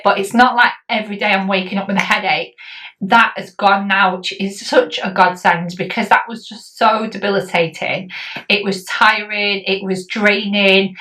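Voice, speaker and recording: A woman's voice: mid-range voice, pushed and bright, recorded from a distance, rough recording. A woman, chatting casually through a health update vlog with lots of ums and likes. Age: 40s. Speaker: vlogger.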